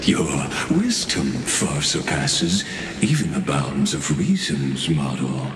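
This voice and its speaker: An actor playing an ominous, condescending game villain with a deliberate, taunting delivery. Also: male voice, extremely low pitch, sing-song, heavy background noise, full character voice. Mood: mocking. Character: ominous, condescending male villain, slow and theatrical. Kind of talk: video game voice acting. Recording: echoing voice under loud music.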